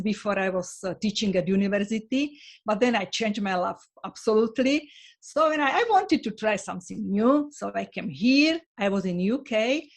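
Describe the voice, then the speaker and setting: Slovak-accented woman speaking earnestly. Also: mid-range voice. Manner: earnest, halting, non-native phrasing. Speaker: guest. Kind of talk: online interview.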